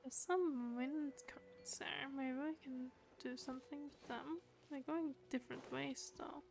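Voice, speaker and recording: High-pitched, creaky-voiced woman gaming. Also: fairly even intonation, unhurried. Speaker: game streamer. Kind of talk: casual gameplay chat.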